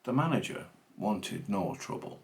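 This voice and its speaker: A man theatrically reciting comic verse with dramatic, deliberate phrasing. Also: on the low side, lively intonation, vocal fry, slight background noise. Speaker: reader of a comic monologue poem.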